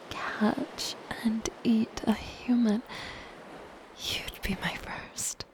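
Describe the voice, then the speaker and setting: A soft, breathy siren, played by a woman whispers very slowly in an ASMR roleplay. She is gentle and shy, yet coyly possessive and menacing. Ocean waves wash clearly behind her voice. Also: medium pitch, close to an everyday voice. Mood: shy, wistful. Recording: ocean surf noise behind the voice.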